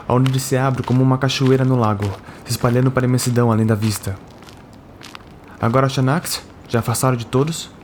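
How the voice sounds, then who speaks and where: A man's voice: medium pitch, a little mellow, slight background noise. A man with a Brazilian accent delivering scripted fantasy narration as a tabletop game storyteller.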